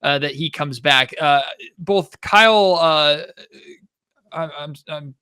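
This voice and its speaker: Higher-pitched man hosting a sports podcast. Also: takes it slowly, sharp tone, clear, resonant tone.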